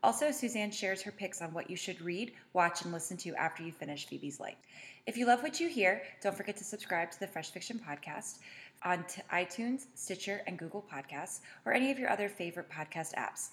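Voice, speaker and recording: A woman's voice: lowish voice, animated, fairly quick, fairly bright tone. An American woman speaking as the host of a podcast. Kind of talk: conversational podcast interview.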